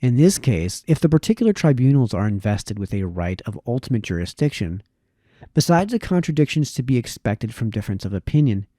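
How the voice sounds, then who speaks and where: Mellow man reading aloud, dry sound. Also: mid-range voice, no echo. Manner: measured, formal read with occasional stumbles. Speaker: reader. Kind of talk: reading aloud a historical political text.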